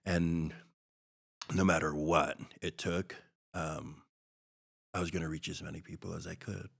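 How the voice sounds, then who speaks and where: A middle-aged man from Hawaii, a podcast guest, telling a personal story in a halting, reflective way with many restarts. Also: low voice, flat delivery, creaky, a bit bright.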